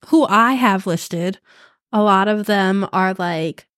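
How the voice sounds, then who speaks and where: A young woman co-hosts a podcast in an unhurried, rambling way, leaning on "like" as she thinks aloud. She speaks at a slow pace with a middle pitch. The sound is dry and close to the mic. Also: dry recording. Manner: relaxed, rambling with "like". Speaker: podcast co-host. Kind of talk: casual chat.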